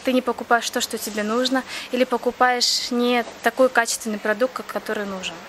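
A woman's voice: a little high, fairly even intonation, fairly quick, fairly bright tone, slight background noise. A young woman from Crimea, earnestly answering interview questions in plain speech with frequent hesitations. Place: outdoors near a café.

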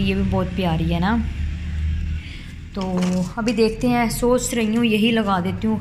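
A woman's voice: mid-range voice, background noise. Chatty woman filming at home. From Pakistan. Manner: chatty, rambling, filler-heavy. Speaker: home vlogger. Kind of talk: casual home review vlog. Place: rooms of a home, indoors. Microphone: phone camera held by the speaker, off-screen.

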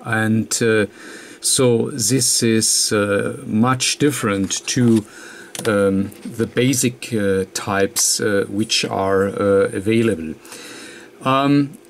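A man presenting an electronics tutorial, explaining off the cuff in a halting, hesitant way with frequent pauses mid-sentence. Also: fairly low pitch, fairly even intonation, vocal fry, slight background noise. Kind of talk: unscripted technical explanation. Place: indoor workbench, hands and papers filmed.

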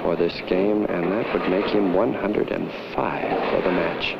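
A man with an American accent commentating and hosting a televised bowling match in 1950s style, with folksy, excitable turns of phrase. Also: deep voice, unhurried, gravelly. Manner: excitable, folksy exclamations on good shots. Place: bowling alley. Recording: dull, noisy 1950s television soundtrack.

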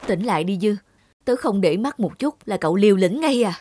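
A woman's voice: mid-range voice, expressive, fairly quick, mellow, breathy edge, no room sound, clean studio sound. A woman playing a worried, loyal male film actor in a cartoon, speaking with serious concern. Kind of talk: animated cartoon dialogue.

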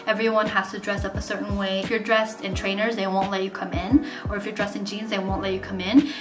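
A woman's voice: medium pitch, flat delivery, fast. A woman in her twenties, not a native English speaker, talking frankly and casually to the camera as a student vlogger in her bedroom. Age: 20s. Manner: chatty, frank, many fillers. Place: bedroom, indoors. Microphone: camera in front at desk distance. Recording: roomy bedroom with music behind.

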